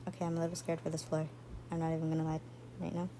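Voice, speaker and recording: A woman's voice: deep voice, completely flat delivery, rapid-fire, background noise. A woman streaming a game, chatting casually as she plays. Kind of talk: casual gameplay chat. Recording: loud game music behind voice. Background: loud game music and sound effects.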